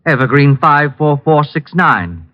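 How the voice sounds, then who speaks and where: An actor playing a composed, evasive husband under suspicion in a 1950s radio drama, explaining himself carefully. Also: male voice, fairly high pitch, big pitch swings, measured pace. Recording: dull lo-fi old radio broadcast.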